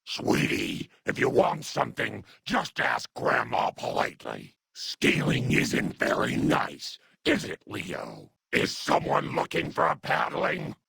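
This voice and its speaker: A man voicing a doting, scolding, unhinged elderly mutant grandmother in a video game, with a gravelly, husky, breathy voice and cooing pet names. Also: medium pitch, wildly swooping pitch, takes it slowly, few pauses, fairly bright tone, no echo, full character voice. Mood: scolding, playful. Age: older. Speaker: video game companion character. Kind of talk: video game character acting.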